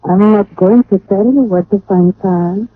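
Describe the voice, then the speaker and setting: Husky, coy femme fatale played by a woman. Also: mid-range voice, moderate pace, lightly acted. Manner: coy, seductive. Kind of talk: 1940s radio detective drama acting. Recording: narrow, dry old radio recording.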